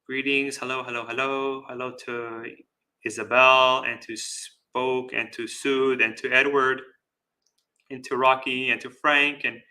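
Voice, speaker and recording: Bright, forceful man teaching Buddhism. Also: mid-range voice, flat delivery, rough recording. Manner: deliberate, pausing, repeating phrases for emphasis; checks in with rhetorical "right?". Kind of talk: dharma talk with live chat questions.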